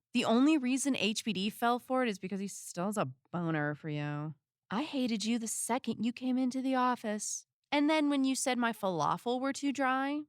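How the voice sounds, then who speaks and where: A woman's voice: a little high, no room sound, lightly acted. Woman playing a blunt sarcastic sidekick, dry sound. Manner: sarcastic, brusque, unimpressed. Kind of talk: scripted audio drama acting.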